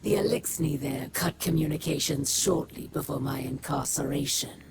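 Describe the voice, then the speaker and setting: Proud bitter alien warrior woman, low and slow, formal, half whispered, loud noisy background. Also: gravelly. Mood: grudging resolve. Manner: measured, formal, deliberate, with whispered edges. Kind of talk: dramatic video game dialogue. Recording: loud ambient noise behind.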